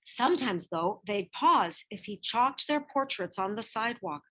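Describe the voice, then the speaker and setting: A woman reading a children's picture book biography aloud in expressive narration, with measured phrasing and dramatic emphasis. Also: medium pitch. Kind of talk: expressive scripted storybook narration.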